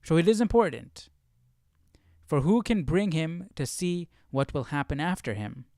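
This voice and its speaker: Man thinking out loud, dry close sound. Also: on the high side, lively intonation, unhurried, creaky, no echo. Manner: informal, thinks out loud, questioning. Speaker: host of a live Bible study stream. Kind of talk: casual live commentary with reading aloud.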